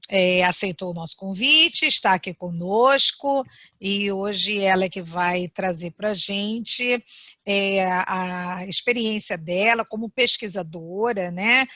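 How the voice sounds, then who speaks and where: Female course host, Brazilian accent, bright forward voice, dry sound, courteous conversational delivery. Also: mid-range voice, no echo. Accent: Brazilian Portuguese. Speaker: course host introducing a guest lecturer. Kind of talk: welcoming introduction to an online class.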